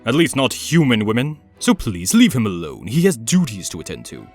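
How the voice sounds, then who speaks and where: Brief: male actor as suave scheming villain, mid-pitched, expressive, condescending, faint music underneath. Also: fairly quick. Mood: stern. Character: smug, calculating villain, suavely threatening man. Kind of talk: amateur comic dub voice acting.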